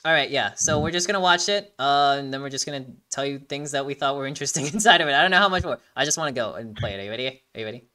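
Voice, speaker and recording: An animated host giving casual reaction commentary and breakdown, rambling, repeating words and swearing. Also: mid-range voice, racing, hardly any pauses.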